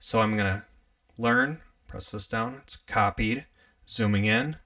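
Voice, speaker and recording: A young man with an upstate New York accent, hosting a casual live tutorial on music gear, chatty and relaxed, laughing often as he works. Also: lowish voice, measured pace, distant mic. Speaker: livestream host teaching music gear.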